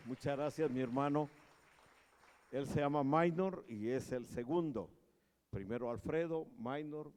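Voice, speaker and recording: A pastor in his sixties giving a sermon in a joking manner, growing louder as he preaches in a large church hall. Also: medium pitch. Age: 60s. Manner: slow, joking, grows loud when preaching. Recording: long church reverb.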